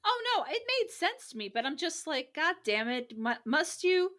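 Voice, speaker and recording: A woman hosting a casual book chat podcast, expressive and exasperated, swearing freely. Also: high voice, moderate pace. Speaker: podcast host. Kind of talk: casual chat about a novel.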